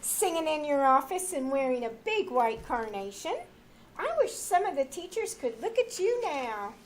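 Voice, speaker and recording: A woman's voice: high voice, big pitch swings, normal speed, poor audio. A woman playing a chirpy, dutiful daughter in old-time radio comedy style. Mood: cheerful. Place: small town theater stage. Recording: live stage recording with background noise.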